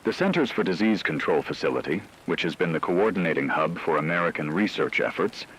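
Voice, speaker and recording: A man playing a calm, grave radio news anchor, reading a scripted report in a measured, formal, factual way. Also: sits low, a little hiss or hum, low-quality recording.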